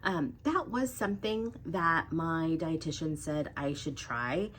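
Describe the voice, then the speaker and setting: Young woman vlogger, congested from a cold, lowish voice, chatty, faint room noise. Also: measured pace, a bit bright. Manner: chatty, talks straight to her viewers. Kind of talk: casual weight loss update.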